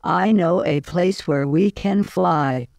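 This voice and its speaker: Man playing a young male stage lover, slow, halting, melodramatic, close dry sound, radio play. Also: fairly high pitch, gravelly, darkish tone, no echo. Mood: ardent.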